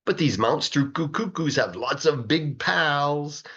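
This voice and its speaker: A man reads a children's story aloud in an expressive, sing-song rhythm, stretching words for emphasis like a teacher reading to a class. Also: on the high side, a little slow, slightly rough, muffled recording. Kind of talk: animated rhyming storybook narration.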